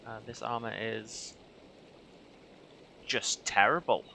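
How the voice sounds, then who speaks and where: A man giving dry, deadpan, sarcastic commentary as he streams a video game. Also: lowish voice, takes it slowly, vocal fry, a little hiss or hum. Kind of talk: gameplay commentary. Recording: game music behind the voice. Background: game music and vehicle sounds.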